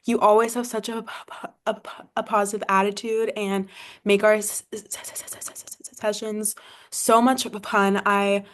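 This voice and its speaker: Young woman, research assistant, stutters openly with lots of repeated sounds, pretty flat monotone delivery. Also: medium pitch, moderate pace. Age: young adult. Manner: stutters openly with many sound repetitions and blocks. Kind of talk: prepared tribute to a child.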